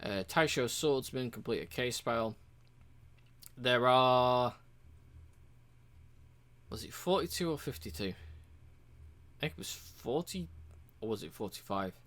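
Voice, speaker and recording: A man casually walking through a game's achievements, relaxed and rambling, with frequent ums and uhs. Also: medium pitch, slow pace, long pauses. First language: English. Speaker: game reviewer.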